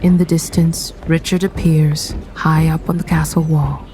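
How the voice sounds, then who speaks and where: A woman's voice: deep voice, level tone, unhurried, warm tone, rough recording. A woman narrating a radio play, setting the scene in scripted present-tense description.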